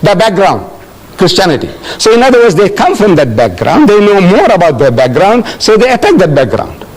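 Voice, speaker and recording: An older man from South Africa answering audience questions in a lecture, animated and telling stories with acted-out dialogue. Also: high-pitched, fairly quick, slightly rough, poor audio.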